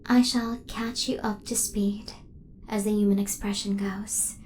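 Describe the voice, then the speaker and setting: A woman playing a devoted, protective female hunter robot in a soft-spoken ASMR roleplay, with formal, precise, machine-like phrasing. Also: mid-range voice, fairly even intonation, measured pace, a little hiss or hum, close to an everyday voice. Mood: soft, grave.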